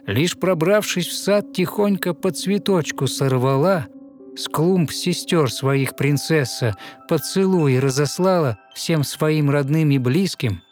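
A man narrating a fairy tale in verse as a storyteller, with measured, rhythmic, dramatic delivery. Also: fairly high pitch, a little mellow. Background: musical score.

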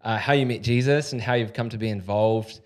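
A man's voice: fairly low pitch, a little fast. A man hosting a faith podcast in a studio, chatting casually with many filler words. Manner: relaxed, frequent filler words. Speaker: podcast host. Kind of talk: casual interview chat.

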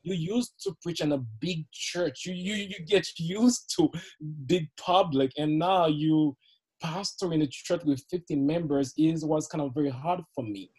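A man's voice: a little high, unhurried, darkish tone, husky. A middle-aged man from Haiti with a Haitian accent, a youth pastor guest on a podcast, telling his story earnestly with frequent laughs and repeated words. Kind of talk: podcast interview, personal story.

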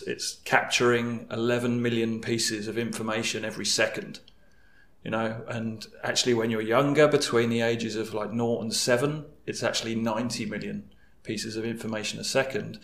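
English guy on a podcast, lots of ums, flat voice, roomy echo. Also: mid-range voice. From England. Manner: hesitant, many fillers and restarts. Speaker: guest coach. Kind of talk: casual podcast chat.

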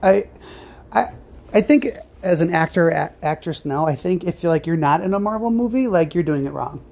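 Low, mellow woman chatting casually. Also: normal speed, vocal fry. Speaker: podcast co-host. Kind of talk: casual chat about superhero movies.

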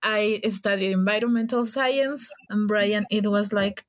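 A woman's voice: mid-range voice, fairly even intonation, unhurried. A young woman from Ecuador with an Ecuadorian Spanish accent, a native Spanish speaker, chatting casually and gigglily as a podcast guest. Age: young adult. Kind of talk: casual chat.

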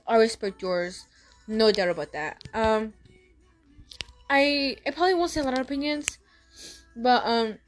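A young woman hosting a casual solo podcast, talking fast with frequent stutters and restarts. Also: medium pitch. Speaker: podcast host.

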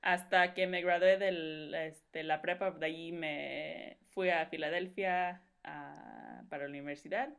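Podcast guest: young woman, lower register, rambling conversational delivery. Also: steady tone, unhurried, gravelly, few pauses, a bit bright, clean tone. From Mexico. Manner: rambling, many fillers. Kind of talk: casual conversational storytelling.

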